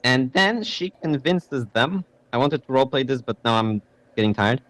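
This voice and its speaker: Young man, Polish native, GM on voice chat; halting, checks in a lot, dry mic, middle pitch. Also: expressive, fairly bright tone, no room sound. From Poland. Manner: hesitant, self-deprecating, often checks in with "right?". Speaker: game master. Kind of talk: casual online tabletop game chat.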